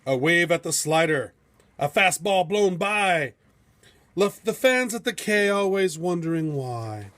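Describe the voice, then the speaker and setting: A man reading a rhyming storybook aloud in a theatrical, measured, epic storytelling manner. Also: high-pitched, lively intonation, fairly bright tone. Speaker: storybook reader. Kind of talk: dramatic rhyming storybook narration.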